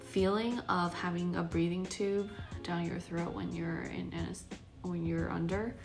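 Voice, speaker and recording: A woman vlogger giving a casual recovery update in a steady, unhurried way, now and then clearing her throat. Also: fairly low pitch.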